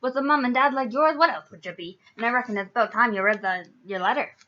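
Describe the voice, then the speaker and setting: Girl doing a big gruff irish giant from a storybook, loud n growly, temper but kind, dull lo-fi mic. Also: fast talker, natural voice. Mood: proud. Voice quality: booming, growling. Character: huge gruff, hot-tempered, kindly male giant gamekeeper.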